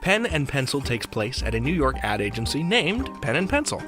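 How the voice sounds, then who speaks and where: A man's voice: mid-range voice, very animated, moderate pace. A man hosting a podcast, reading a scripted introduction in an upbeat, theatrical manner. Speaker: podcast host. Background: music.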